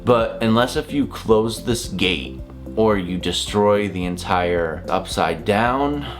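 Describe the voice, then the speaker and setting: A man's voice: on the low side, lively intonation, takes it slowly, soft background music. A man in his thirties giving a casual, opinionated review to camera in a blunt, exasperated, rambling way. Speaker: reviewer, vlogger. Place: small home office, indoors.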